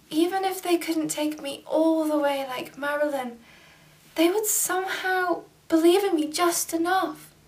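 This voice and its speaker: A woman playing a dreamy, hopeful young woman who tells her story softly and wistfully, recorded from a distance. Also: high voice. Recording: distant camera mic.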